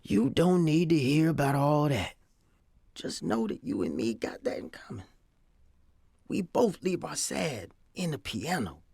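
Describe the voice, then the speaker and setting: An actor playing a weary, kindly piano player who speaks in a folksy, ungrammatical way in a period audio drama. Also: male voice, fairly high pitch, lively intonation, a little slow, vocal fry, darkish tone, breathy edge, no echo, full character voice. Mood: reflective, sombre. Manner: folksy, ungrammatical, plain-spoken.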